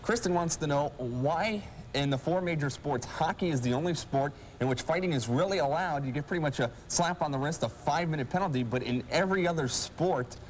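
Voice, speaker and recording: A man's voice: fairly high pitch, brisk, slight background noise. A young man presenting a sports show as host, in an announcer's role at an indoor rink. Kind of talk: sports show presenting, reading schedule and viewer question.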